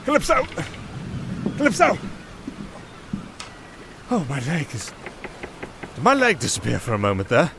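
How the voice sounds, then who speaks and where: An actor playing a silver-tongued wandering musician in a fantasy audio drama, sardonic and theatrical, easily flustered. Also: male voice, a little high, wildly swooping pitch, measured pace, creaky, long pauses, noisy background, heavily acted. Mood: alarmed, accusing.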